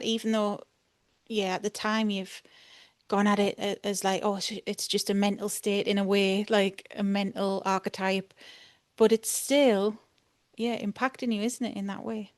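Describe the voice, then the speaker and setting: A woman chats casually as an interview host. Her voice sits at an average pitch, and the sound is dry with hardly any room on it. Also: dry recording.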